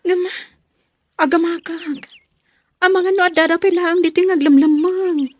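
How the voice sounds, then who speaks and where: A woman's voice: very high voice, fairly even intonation, moderate pace, a little mellow, highs cut off. A woman playing an anxious, wary villager in a radio drama.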